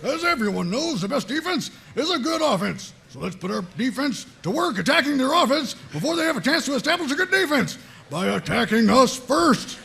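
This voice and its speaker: An actor playing a gruff, gung-ho military squad leader with a gravelly voice and pompous, barking commands, in a comedy table read. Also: male voice, very high-pitched, big pitch swings, fairly quick, bright, forceful tone, a little hiss or hum, exaggerated voice. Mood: gruff, bossy.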